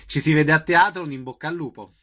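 Bright-voiced male radio host. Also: fairly high pitch, fast. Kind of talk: radio interview hosting, announcing events.

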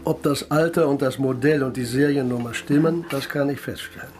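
A man's voice: mid-range voice, steady tone, a little fast, darkish tone, lightly acted. An actor as a matter-of-fact male investigator in a radio crime play. He explains soberly and precisely, with faint music underneath. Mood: sober, explaining. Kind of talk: radio drama dialogue.

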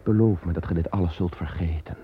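A man's voice: low-pitched, fast talker, lightly acted. A man playing a commanding yet tender king who soothes his frightened beloved, in an old radio drama. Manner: soothing, solemn, archaic speech. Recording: muffled old radio recording, faint hiss.

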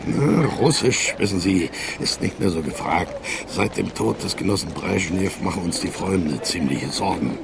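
A male East German officer in a radio play, low-voiced and insinuating. His delivery swings widely in pitch, with halting, drawn-out phrases. There is clear background noise around him. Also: expressive. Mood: insinuating, patronising. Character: East German army officer, smoothly recruiting a student. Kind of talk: German radio drama acting.

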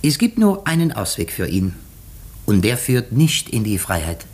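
An actor playing a shrewd, methodical detective in a 1950s radio play, explaining deliberately with dramatic pauses. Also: male voice, fairly low pitch, big pitch swings, darkish tone, slightly rough. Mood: measured, deducing. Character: shrewd, methodical male detective unmasking a murderer.

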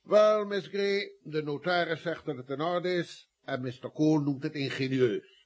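A man's voice: fairly high pitch, normal speed, lightly acted. A man acting in a radio comedy as a blustering, dry, grudgingly generous office boss. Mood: smooth, then dry.

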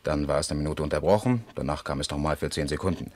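Very deep, terse surveillance cop. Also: male voice, fast, darkish tone, no echo. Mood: dry, grumbling. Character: grumbling male police surveillance man, terse and dry. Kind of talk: German radio crime play acting.

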